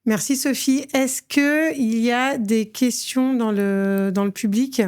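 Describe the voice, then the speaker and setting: A woman hosting a live interview and taking questions from the audience, asking short, brisk questions. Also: fairly high pitch, fairly even intonation, darkish tone, smooth, dry recording. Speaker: podcast host, moderator.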